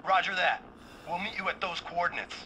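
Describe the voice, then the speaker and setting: Sharp, bright radio operative, crisp protocol. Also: male voice, high-pitched, fairly quick, slight background noise, rough recording. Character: military operative reporting by radio.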